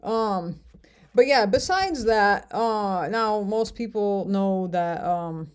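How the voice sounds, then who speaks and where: A woman's voice: mid-range voice, animated, takes it slowly, clear, resonant tone. An American woman vlogging casually, talking in a rambling, hesitant way with many fillers. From United States. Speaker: language learner vlogger. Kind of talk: casual talk to camera.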